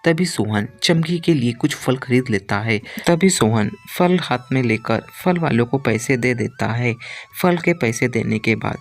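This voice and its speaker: Male children's story narrator, mid-pitched. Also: animated, unhurried.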